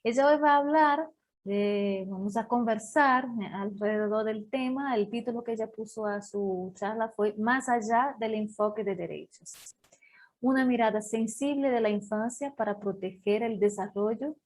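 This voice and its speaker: Host, female, introduces guest haltingly, restarts on names. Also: on the high side, darkish tone. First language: Portuguese. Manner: halting, self-correcting over names and words. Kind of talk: online talk introduction.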